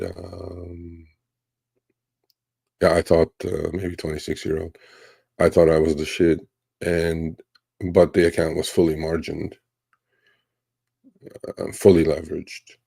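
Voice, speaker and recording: A man in his fifties from Turkey, hosting a livestream Q&A and telling stories in a relaxed, rambling way with many fillers. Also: very deep voice, creaky, breathy edge, no room sound. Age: 50s. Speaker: livestream host. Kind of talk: casual livestream Q&A.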